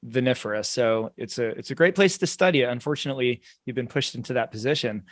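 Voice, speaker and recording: A man's voice: medium pitch, fast, no room sound, clean studio sound. Chatty American man hosting a podcast. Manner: friendly, chatty, many fillers. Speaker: podcast host. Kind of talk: casual interview hosting.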